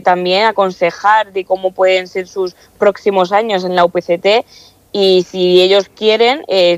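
A woman's voice: lowish voice, no room sound. Young woman student, dull dry sound. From Castilla-La Mancha, Spain. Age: young adult. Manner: chatty, many fillers and restarts. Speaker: student council member, guest. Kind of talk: interview answers.

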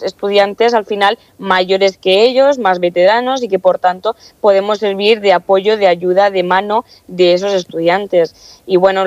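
A woman's voice: medium pitch, a little mellow, no room sound, dull audio. A woman in her early twenties with a Spanish accent from Spain, speaking earnestly as a student representative answering interview questions. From Castilla-La Mancha, Spain. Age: early 20s. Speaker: student council president being interviewed.

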